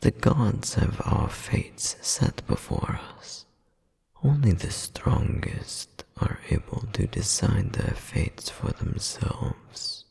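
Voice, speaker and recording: Man playing a whispering, gravelly, cruel demon noble. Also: on the low side, expressive, a little mellow, heavily acted. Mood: scornful. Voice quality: breathy, gravelly half-whisper. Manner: slow, haughty, formal, menacing delivery. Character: cold, domineering noble demon older brother, cruel, disdainful. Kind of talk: scripted ASMR roleplay audio drama. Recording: long cavernous reverb.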